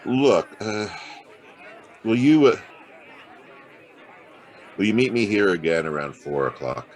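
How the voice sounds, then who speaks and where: An American actor playing a world-weary, hard-boiled private detective with a soft streak in a noir audio drama, gravelly and laconic. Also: male voice, on the low side, sing-song, slow pace, some chatter behind. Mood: gentle, hesitant. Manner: laconic, colloquial tough-guy delivery. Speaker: actor playing the lead and narrator. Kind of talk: noir audio drama dialogue and first-person narration.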